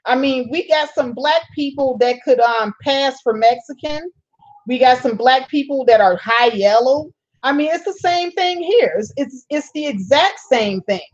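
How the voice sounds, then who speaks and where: A woman's voice: sits high, lively intonation, unhurried, dull audio. A woman hosting a casual live stream. She reads viewer comments aloud, answers them, and laughs often. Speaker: channel host reading live chat.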